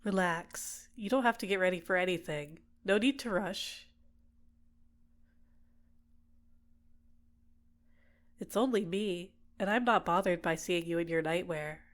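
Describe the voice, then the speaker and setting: A woman playing a doting, possessive villain in an ASMR roleplay, speaking in a gentle, coaxing, soothing way. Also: fairly low pitch, normal speed, hardly any pauses, natural voice. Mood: soothing, reassuring. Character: doting, possessive female villain caring for a captive. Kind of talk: ASMR roleplay, personal attention.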